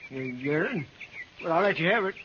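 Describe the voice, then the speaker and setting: Man playing a high-pitched crusty old frontier geezer. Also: lively intonation, a little fast, very noisy, natural voice. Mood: crusty, scoffing. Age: older. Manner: folksy, gruff, scoffing. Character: crusty cantankerous old male stagecoach passenger, proud know-it-all. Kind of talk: 1950s radio western acting. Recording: muffled old radio recording, music.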